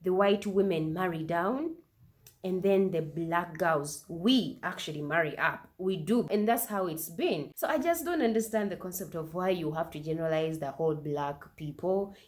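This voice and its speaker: A woman with a slightly low voice talks through her reaction video. She argues her point in animated bursts and keeps asking sharp, disbelieving questions. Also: normal speed. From Uganda. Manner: animated, incredulous rhetorical questions. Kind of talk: opinionated commentary.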